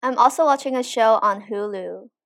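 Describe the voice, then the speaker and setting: A woman's voice: a little high, normal speed, fairly bright tone. A young woman co-hosting a podcast, chatting casually and agreeably. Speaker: podcast host. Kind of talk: casual chat.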